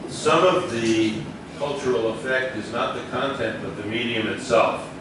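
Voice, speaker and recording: A man's voice: mid-range voice, moderate pace. A man in an audience making an opinionated, expository comment that leads into a question. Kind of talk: discursive question from the floor. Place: echoey indoor lecture room. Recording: very echoey room, distant mic, noisy.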